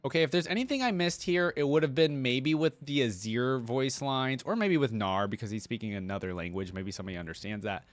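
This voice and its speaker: A man's voice: mid-range voice, expressive, a little fast, hardly any pauses, no echo. A man hosting a reaction video, giving informal, chatty, easily amused commentary.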